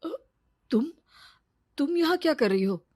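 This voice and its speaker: A woman voicing a fickle, selfish, flirtatious husband in a story, casual and smooth-talking. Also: sits high, lively intonation, a little slow, darkish tone, no room sound, studio quality. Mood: flustered.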